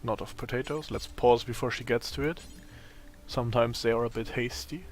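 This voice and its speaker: A man with a raspy, tired voice giving casual gameplay commentary, thinking aloud as he plays. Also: mid-range voice, steady tone. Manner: slow, thinking aloud while playing. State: voice strained from a long day of talking.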